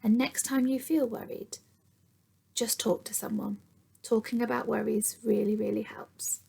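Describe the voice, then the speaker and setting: A woman reading a picture book aloud like a teacher to young children, expressive, sometimes dropping to hushed tones and putting questions to her listeners. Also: a little high, a little slow, gravelly, slightly rough. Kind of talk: children's storytime read-aloud.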